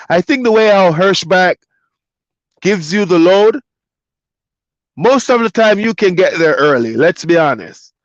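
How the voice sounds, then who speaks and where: A man's voice: high voice, no room sound, highs cut off. A man hosting a live stream as a trucker, talking casually and reading chat comments aloud. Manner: laid-back, loose, reads chat comments aloud.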